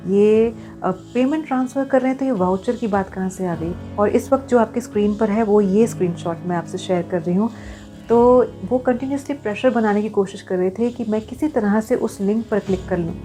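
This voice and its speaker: Earnest woman, mellow voice. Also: mid-range voice. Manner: earnest, explanatory, mixing in English phrases. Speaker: vlogger. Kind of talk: personal awareness vlog, talking to viewers.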